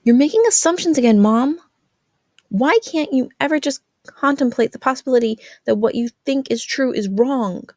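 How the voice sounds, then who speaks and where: A woman acts out an earnest teenage girl in an audio story, fed up and pleading. The voice is lifted well above a normal reading register, with a husky edge and a close, dry sound. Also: sits high, animated, normal speed, darkish tone, dry recording, voice pushed up. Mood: exasperated, insistent. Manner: insistent, pleading. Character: exasperated, earnest teenage daughter arguing with her mother. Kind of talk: acted fan fiction reading.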